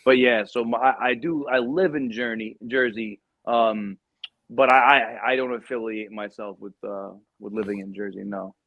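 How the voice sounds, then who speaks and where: A man's voice: on the low side, fairly even intonation, moderate pace, no echo, dull audio. A man with a Brooklyn accent, from New York, chatting casually on a podcast and telling stories. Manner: storytelling, lots of "like". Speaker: podcast guest. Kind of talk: casual chat.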